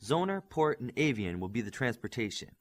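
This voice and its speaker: A man's voice: mid-range voice, big pitch swings, a little fast, close to an everyday voice. A man voicing a young, earnest superhero squad leader in an audiobook, giving orders in an emphatic briefing style. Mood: commanding. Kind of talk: audiobook character dialogue.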